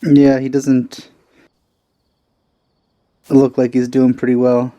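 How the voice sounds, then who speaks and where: A man giving casual, low-key, rambling let's play commentary on a video game. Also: monotone, slow, mellow, dry recording. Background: faint game music.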